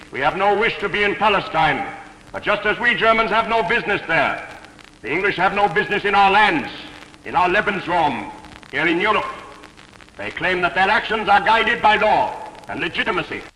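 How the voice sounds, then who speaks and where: A man delivering formal political oratory in a solemn, declamatory manner with deliberate rhetorical pauses. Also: high voice, bright, forceful tone. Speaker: orator reading a translated historical political speech. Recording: echoey large hall, faint noise.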